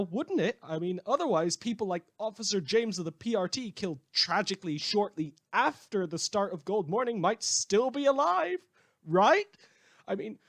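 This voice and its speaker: A man's voice: sits high, a bit bright, full character voice. A man playing a sardonic radio newsreader in a post-apocalyptic world, reading scripted satirical news with dry, rambling asides. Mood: bitter, agitated. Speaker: radio host.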